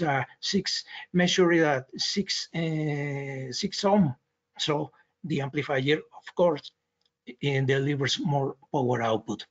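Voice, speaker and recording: Halting higher-voiced man, lo-fi audio. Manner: halting, non-native English phrasing, repeats words. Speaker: loudspeaker brand representative presenting. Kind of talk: unscripted webinar explanation.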